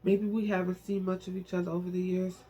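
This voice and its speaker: A man's voice: sits high, level tone, fast talker, mellow, a little hiss or hum, natural voice, rough recording. A man reading the part of a caring, insistent female relative in a novel, earnest and coaxing.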